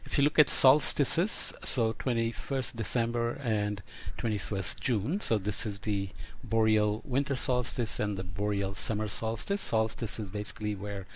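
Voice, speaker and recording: Low-voiced man lecturing haltingly. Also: fairly even intonation, poor audio. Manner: halting, frequent uh pauses mid-sentence. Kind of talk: explanatory science lecture.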